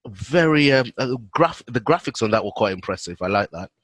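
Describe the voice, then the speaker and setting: A man's voice: medium pitch, brisk, no room sound. British man explaining, dry close sound. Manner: relaxed, explanatory, frequent um fillers. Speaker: guest, head of operations. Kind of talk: video call interview.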